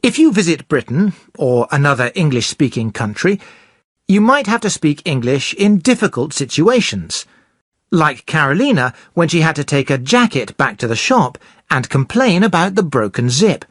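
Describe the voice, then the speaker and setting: A presenter explaining English grammar slowly and clearly for beginners. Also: mid-range voice, sing-song, no echo, clean studio sound. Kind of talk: scripted teaching narration.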